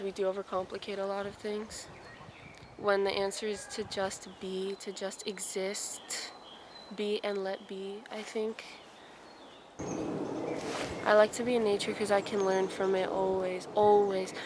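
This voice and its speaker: A woman in her twenties talking through her thoughts in a casual outdoor vlog, musing and full of "like". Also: medium pitch. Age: 20s. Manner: musing, says "like" a lot. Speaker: vlogger. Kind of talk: reflective casual vlog talk. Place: outdoors in a forest. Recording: outdoor noise behind her.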